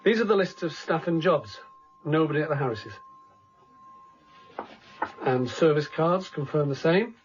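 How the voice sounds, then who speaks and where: A man's voice: a little high, lively intonation, a little slow, long pauses, faint music behind. An actor playing a deferential, methodical British police constable reporting to his superior in a radio drama. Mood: matter-of-fact, deferential. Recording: dull lo-fi radio recording.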